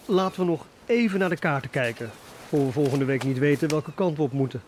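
A man's voice: fairly high pitch, animated, brisk, slight background noise, close to an everyday voice. An actor playing a calculating, profit-hungry seafaring man in a historical radio play. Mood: cool, greedy.

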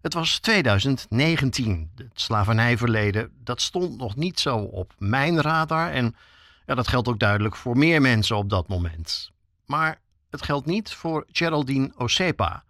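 A man's voice: medium pitch, animated, measured pace, a bit bright, no room sound, studio quality. A man with a Netherlands Dutch accent hosts a podcast, reading scripted narration as a reporter.

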